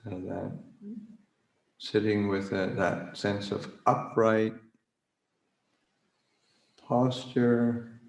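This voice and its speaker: A man with a fairly low voice leads a guided meditation. He speaks extremely slowly, in short halting phrases with long pauses and searching hesitations. The recording is echoey and roomy. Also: steady tone, vocal fry, a little mellow. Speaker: Buddhist monk leading a guided meditation. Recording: roomy, echoing livestream recording.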